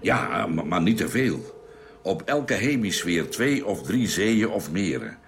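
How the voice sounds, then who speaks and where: Low-voiced, thoughtful ship's doctor. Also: male voice, big pitch swings, slow, exaggerated voice. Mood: thoughtful, observing. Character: thoughtful male doctor aboard a Mars spaceship. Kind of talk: Dutch radio play acting. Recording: noisy radio drama recording.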